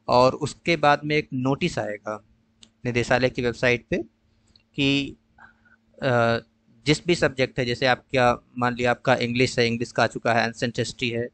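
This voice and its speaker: A man patiently walking viewers through an online counselling process in a screen-share tutorial, pausing often with "uh". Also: medium pitch, fairly bright tone, no room sound. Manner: patient, explanatory, mixes English phrases with frequent "uh" pauses. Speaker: tutor explaining a college allotment process.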